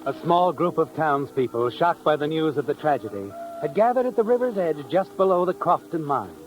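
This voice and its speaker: American 1950s radio suspense storyteller, higher-pitched, mocking, pausing for drama, heavy music underneath. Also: male voice, big pitch swings, low-quality recording. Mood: somber. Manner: taunting second-person address with dramatic pauses. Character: mocking, ominous male storyteller of a crime thriller. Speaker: narrator.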